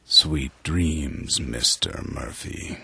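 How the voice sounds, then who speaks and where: A man's voice: rumbling low, drawn-out words, creaky, background noise, full character voice. An actor playing a casual, mocking henchman with an offhand, colloquial way of talking, in a radio drama. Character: casual, mocking male henchman guarding a captive. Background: music.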